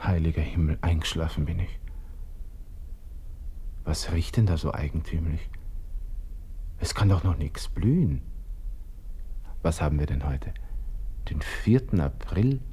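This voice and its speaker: Husky, brooding young Austrian lieutenant. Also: male voice, very deep voice, mellow, full character voice. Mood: brooding, anxious. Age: 20s. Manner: halting, self-questioning, talking to himself. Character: despairing young Austrian army lieutenant, brooding alone at night. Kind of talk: radio drama interior monologue.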